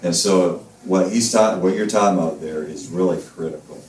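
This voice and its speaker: A man's voice: low voice, moderate pace, darkish tone, slight background noise. A middle-aged man, a missionary, speaking steadily and affirmingly in a casual panel conversation. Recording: roomy, mic at a distance.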